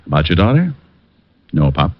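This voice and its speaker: An actor playing a confident, wisecracking private detective who also narrates a 1950s radio drama. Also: male voice, very deep voice, sing-song, normal speed, no room sound, exaggerated voice. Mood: wry. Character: wisecracking male private eye, cool. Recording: dull old radio broadcast. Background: music.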